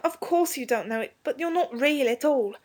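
A woman's voice: a little high, animated, moderate pace, pitched above the performer's own voice. A woman voicing an eager young English schoolboy in a storybook, earnest and hasty, stumbling over his slangy words. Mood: desperate, indignant. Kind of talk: storybook character dialogue.

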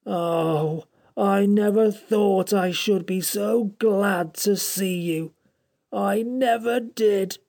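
A man voicing a small, sad magical creature that speaks softly, pleading and close to tears. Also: high-pitched, extremely slow, creaky, pitched above the performer's own voice, exaggerated voice.

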